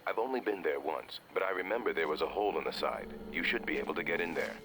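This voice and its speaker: A man's voice: sits low, animated, fast talker, noisy background. A man voicing a composed, urgent insider ally who gives matter-of-fact instructions in a video game. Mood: calm briefing. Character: male insider ally guiding a fugitive by radio, composed, urgent. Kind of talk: video game cutscene voice acting.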